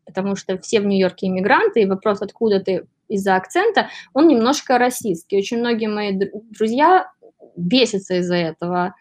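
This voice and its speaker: A woman from Belarus speaking as an interviewee, casually telling stories in an ironic, expressive way and acting out exchanges. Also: mid-range voice, a little fast, no room sound. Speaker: interviewee, writer and boutique sales worker.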